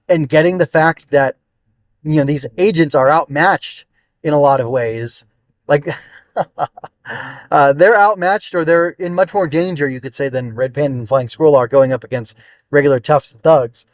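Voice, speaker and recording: A man with a slightly high voice hosts a casual solo review podcast. He talks off the cuff, circling his thoughts and hunting for the right word. The sound is dry, with very little room around it. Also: dry recording. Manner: rambling, searching for words, thinking aloud. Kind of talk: casual unscripted review monologue.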